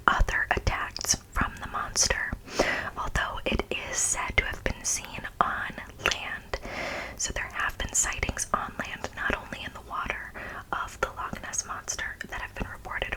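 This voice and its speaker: A woman speaks entirely in a breathy whisper, in the style of an ASMR video. Her delivery is informal and conversational. She stresses her points and often repeats phrases for emphasis. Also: a little slow, poor audio. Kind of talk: whispered ASMR, part reading, part casual commentary.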